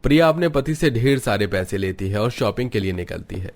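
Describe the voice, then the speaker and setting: A man's voice: fairly low pitch, animated, fairly quick, darkish tone. A man reading scripted narration for a moral cartoon story.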